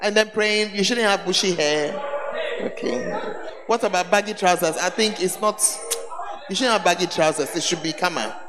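A man preaching as a bishop, his voice hoarse, teasing the congregation with call-and-response questions. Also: sits high, normal speed, noisy background. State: hoarse, voice tired. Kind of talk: sermon. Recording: echoey hall with faint ambience.

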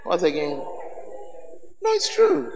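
A man preaching as a bishop, his voice hoarse, teasing the congregation with call-and-response questions. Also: high voice, wildly swooping pitch, slow, darkish tone, a little hiss or hum. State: hoarse, voice tired. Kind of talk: sermon. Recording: echoey hall with faint ambience.

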